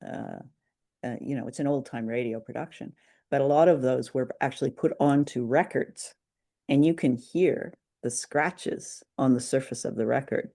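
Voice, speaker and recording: A man's voice: mid-range voice, normal speed, creaky, mellow. A man hosting a casual two-person review show, talking in a hesitant, conversational way. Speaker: show host. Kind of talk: casual two-person review chat about old radio drama.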